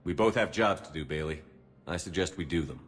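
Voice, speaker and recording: A man's voice: low voice, brisk, in a large hall, soft background music, close to an everyday voice. A man playing a steadfast, earnest military commander in a video game, speaking in a terse, firm manner. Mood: sober.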